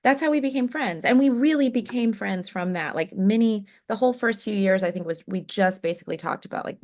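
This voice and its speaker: Chatty woman jazz singer, lowish voice. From Oklahoma, USA. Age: 30s. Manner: chatty, warm anecdotes with many fillers and laughs. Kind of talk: casual podcast interview.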